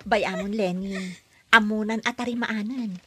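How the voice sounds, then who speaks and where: A woman playing a patient nanny and housemaid in a radio drama, gentle and politely deferential. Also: mid-range voice, fairly even intonation, unhurried, very noisy, natural voice.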